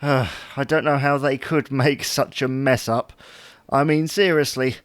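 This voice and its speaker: Actor as a dry, long-suffering husband. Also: male voice, medium pitch, steady tone, a little slow, smooth, no echo, lightly acted. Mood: wry, grumbling. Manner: wry, dryly placating. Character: dry, long-suffering husband, placating his anxious wife. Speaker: audio drama actor. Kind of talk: domestic comedy-drama dialogue.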